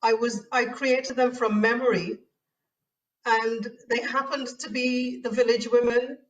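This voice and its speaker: A woman's voice: on the high side, level tone, measured pace, distant mic, rough recording. A woman from Guyana, an author answering interview questions in a thoughtful, hesitant way with many fillers. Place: abroad in the Netherlands.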